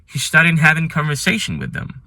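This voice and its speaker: A man who is not a native English speaker hosts a ranked commentary, speaking earnestly and with admiration, with some halting phrasing. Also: fairly high pitch, wildly swooping pitch, sharp tone, dry recording. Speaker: channel host. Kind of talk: ranking list commentary.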